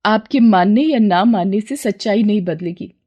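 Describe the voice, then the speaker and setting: A woman voicing a humble, patient young wife from a poor family, speaking softly in a cartoon story. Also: mid-range voice, normal speed, natural voice. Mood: firm.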